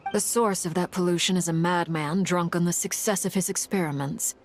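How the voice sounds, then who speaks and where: A woman playing a grave, dutiful military officer in a video game, speaking in a stern, measured, formal way. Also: fairly low pitch, steady tone, a little hiss or hum, lightly acted. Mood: grave, resolute. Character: grave, dutiful female Fatui officer giving orders and warnings. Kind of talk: scripted video game voice acting.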